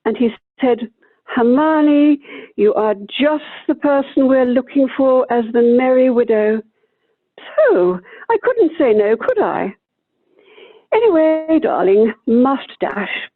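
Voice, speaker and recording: Woman acting a gushing, high-voiced society widow. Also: very animated, exaggerated voice. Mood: breezy, self-satisfied. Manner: affected, effusive, drawn-out darlings. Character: gushing, theatrical society widow, proud amateur actress. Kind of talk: amateur radio play acting. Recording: tinny, phone-like video call line.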